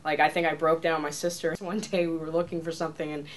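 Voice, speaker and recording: A woman's voice: low-pitched, flat delivery, fast talker, a little hiss or hum, poor audio. Chatty woman in her twenties. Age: 20s. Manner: chatty, earnest, many fillers like "you know" and "like". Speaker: casting applicant. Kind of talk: casting tape self-introduction.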